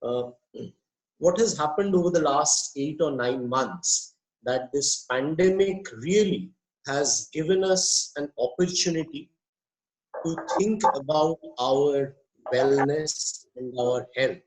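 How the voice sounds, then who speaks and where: A man, a psychiatrist giving an online welcome speech, hoarse from a cold and speaking in a measured, deliberate way over a video call. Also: fairly high pitch, low-quality recording. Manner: measured, deliberate, pauses with fillers.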